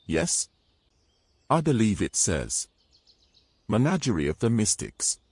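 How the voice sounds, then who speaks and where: A man's voice: lowish voice, wildly swooping pitch, takes it slowly, a little mellow, slightly rough, dry recording, full character voice. A man playing a grumbling, exasperated adventurer in a fantasy audio drama, haughty and formal in his phrasing. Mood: explaining. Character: grumbling, exasperated young adventurer carrying a mermaid.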